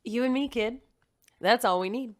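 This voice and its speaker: A woman's voice: fairly high pitch, moderate pace, natural voice. A woman playing a gushing, protective mother in an audio drama. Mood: doting. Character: doting mother of a computerized daughter.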